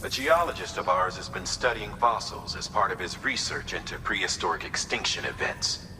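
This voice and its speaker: An actor playing a calm male commander who briefs in a measured way. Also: sits low, noisy background. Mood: briefing. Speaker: mission director character.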